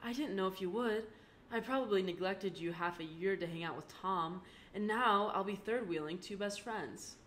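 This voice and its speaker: A woman's voice: medium pitch, moderate pace, fairly bright tone, a little hiss or hum, close to an everyday voice. A woman voicing a heartbroken teenage girl who speaks tearfully and sniffles.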